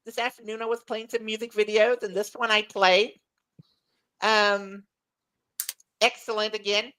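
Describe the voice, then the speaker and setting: A woman's voice: mid-range voice, flat delivery, a little slow, sharp tone, clean tone, rough recording. A middle-aged woman losing her voice, chatting eagerly as a podcast panelist and often restarting her words. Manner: enthusiastic, repeats and restarts words. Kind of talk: casual chat.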